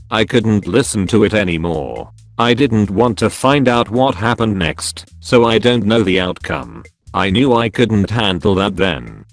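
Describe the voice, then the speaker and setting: A man reading first-person written stories aloud as a scripted narrator. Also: lowish voice. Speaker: narrator reading online forum posts.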